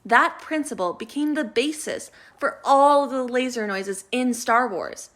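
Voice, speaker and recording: A woman's voice: on the high side, a little slow, a bit bright. A woman narrating a scripted radio play introduction, reading evenly from a script.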